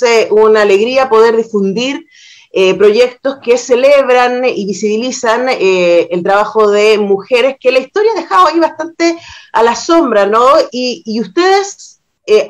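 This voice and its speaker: A woman with a Chilean accent hosting a radio interview in a conversational, reflective way, often checking for agreement with short tag questions. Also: on the high side. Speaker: radio host.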